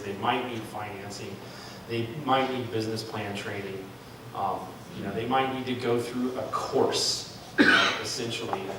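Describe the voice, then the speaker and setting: A man presenting a market analysis at a public forum, speaking off the cuff with many ums and restarts. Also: mid-range voice. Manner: thinking aloud, frequent ums and restarts. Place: large room at a public forum. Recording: echoey hall, far from mic, noisy.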